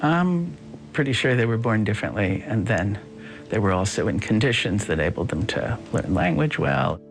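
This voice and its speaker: A woman academic explains her views expressively, her pitch moving widely as she speaks. Soft music sits under her voice. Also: animated, moderate pace, poor audio. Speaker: neurolinguist interviewed. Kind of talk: expert interview answers.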